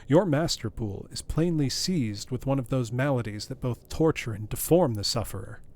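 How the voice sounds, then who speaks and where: A man voicing a composed, formal older male lawyer who reasons calmly, close to his own voice. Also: medium pitch, normal speed, natural voice.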